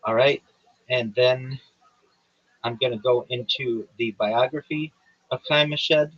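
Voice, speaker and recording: Guy hosting a livestream, kinda husky voice, measured formal delivery, dull lo-fi sound. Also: medium pitch, steady tone, fairly bright tone, dry recording. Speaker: livestream host. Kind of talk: reading a prepared statement, then casual chat.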